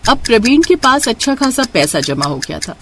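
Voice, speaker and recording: A woman's voice: a little high, sing-song, moderate pace, background noise. A woman narrating a scripted moral story for a cartoon.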